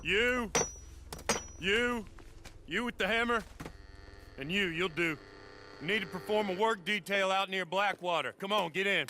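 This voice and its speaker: A man's voice: sits high, a little slow, long pauses, pushed and bright, slight background noise. A man playing a grumbling, small-minded Old West prison guard in a video game. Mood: gruff, commanding. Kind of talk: dramatic video game cutscene acting.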